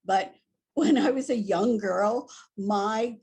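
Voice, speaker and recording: An older woman from Hawaii, a guest in an interview, telling stories in an animated way and laughing easily. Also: mid-range voice, slow, gravelly, breathy edge. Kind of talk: casual interview.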